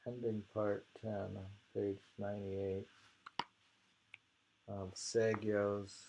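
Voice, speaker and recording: Slow, gravelly man musing over poetry. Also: lowish voice, level tone, noisy background. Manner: halting, musing. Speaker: reader and host of poetry readings. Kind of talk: casual poetry reading and discussion.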